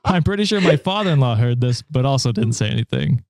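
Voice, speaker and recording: A young man hosting a podcast, reading a story aloud and chatting about it in a relaxed, dry way. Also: medium pitch, quick pace, no room sound. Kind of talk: story reading with casual chat.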